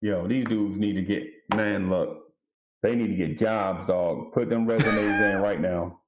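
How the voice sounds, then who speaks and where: A man with a lowish voice sounds echoey and roomy. He comments casually on music as a panelist. His manner is blunt, slangy and mocking. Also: steady tone, a little slow, background noise.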